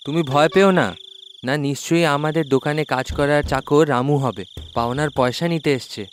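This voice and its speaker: A man's voice: a little high, normal speed, a little hiss or hum, close to an everyday voice. A man voicing an arrogant but cowardly village husband in a cartoon story, blustering and scolding. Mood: coaxing. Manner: blustering, scolding, pleading when scared. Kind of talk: children's moral-story cartoon acting.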